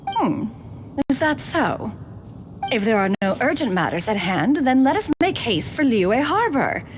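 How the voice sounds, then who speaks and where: Dignified ancient spirit, formal archaic speech, slightly high voice, lively pitch, music behind. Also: female voice, a little slow, slight background noise. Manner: archaic, formal speech, refers to herself as "one". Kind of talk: video game dialogue. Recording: game audio with music behind. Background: background music.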